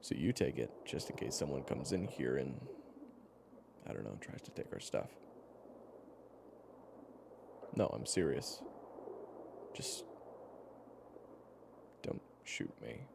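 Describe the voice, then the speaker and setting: A man playing a weary, protective survivor who tells stories in a halting, wry, conversational way for an audio roleplay. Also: rumbling low, very animated, heavily acted. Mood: wry, coaxing. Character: weary, protective male storyteller survivor, teasing and patient. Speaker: character narrator. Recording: clearly noisy background ambience.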